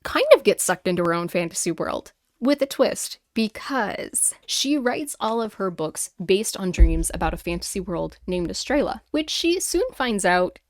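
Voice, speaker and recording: Chatty, animated woman, dry close sound. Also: medium pitch, moderate pace, few pauses, no room sound. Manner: animated, exclamatory, rambling asides. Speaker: host of a paranormal story show, author. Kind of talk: chatty commentary and reading listener stories aloud.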